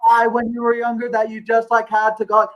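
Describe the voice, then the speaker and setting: A man who is a guest on a live stream, talking casually in a halting way, with a stutter, long pauses and repeated words. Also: very high-pitched, monotone, dull audio.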